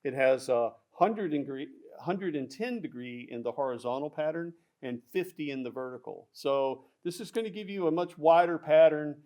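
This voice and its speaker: A man explaining loudspeaker designs in a technical product discussion, halting with fillers and restarts. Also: fairly high pitch. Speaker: audio product specialist.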